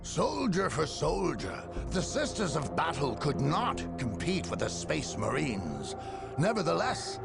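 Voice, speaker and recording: An actor playing a solemn, zealous chronicler of a grim war, giving dramatic game narration in a formal, portentous manner with deliberate emphasis. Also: male voice, on the high side, wildly swooping pitch, creaky, heavily acted. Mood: grave, portentous. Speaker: narrator of game campaign endings. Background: music.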